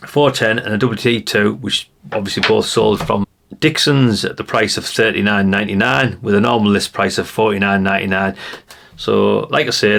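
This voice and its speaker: Low-pitched middle-aged British man, chatting casually. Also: vocal fry, fairly bright tone. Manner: rambling, chatty, addresses viewers informally. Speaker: vlogger showing vintage CB radios and catalogues. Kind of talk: casual unscripted show-and-tell chat.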